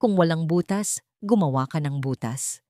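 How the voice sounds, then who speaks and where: Casting: rich old villainess woman performer, cold, menacing, imperious, low register, dramatic audio story. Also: lively intonation, moderate pace, mellow, no echo. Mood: cold, demanding. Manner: commanding, menacing. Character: cold, scheming, wealthy elderly villainess.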